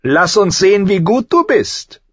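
A man's voice: sits high, sing-song, unhurried, no echo, dull audio, pitched above the performer's own voice, exaggerated voice. A man voicing an arrogant, cruel teenage prince in an audiobook, haughty and commanding. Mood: taunting, commanding.

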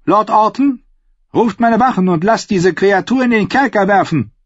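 Man putting on a scheming, imperious queen, raised pitch. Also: expressive, moderate pace, no echo, lo-fi sound. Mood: commanding. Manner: commanding, falsely sweet interrogation.

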